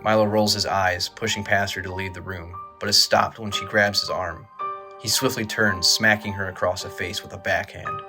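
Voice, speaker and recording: A man's voice: deep voice, steady tone, moderate pace, over music. A man reading scripted dramatic narration for an audio drama.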